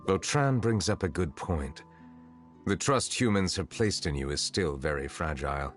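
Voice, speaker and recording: An actor playing a grave, courteous and cautious chief justice in a video game, formal and measured. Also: male voice, low-pitched, expressive. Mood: grave, cautioning. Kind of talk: video game character acting. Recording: music behind the voice. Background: game music.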